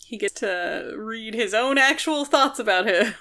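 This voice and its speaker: A woman's voice: a little high, unhurried, pushed and bright. A woman co-hosting a podcast, chatting casually and informally about a book. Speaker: podcast co-host.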